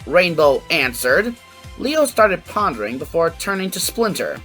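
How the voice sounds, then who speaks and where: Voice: medium pitch, big pitch swings, a little slow, fairly bright tone, faint music behind. A narrator reading a scripted fan fiction story aloud.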